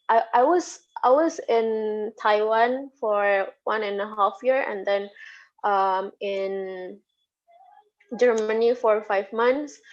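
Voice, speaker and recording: Slow, halting Indonesian woman being interviewed. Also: medium pitch. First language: Sundanese. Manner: hesitant, halting, repeats words. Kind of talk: casual interview answers. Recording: dull, lo-fi audio.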